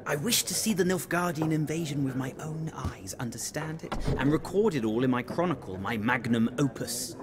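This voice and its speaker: An actor playing a pompous, eager, bookish scholar in a video game, speaking in a florid and theatrical manner. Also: male voice, fairly high pitch, normal speed, few pauses, noisy background, lightly acted. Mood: lofty, earnest. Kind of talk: video game character dialogue. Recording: crowd chatter behind the voice.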